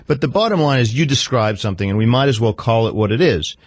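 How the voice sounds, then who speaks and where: Man, medium pitch, therapist interviewer, probing, insistent, interrupting, conversational, dry close sound. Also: lively intonation, quick pace, no room sound.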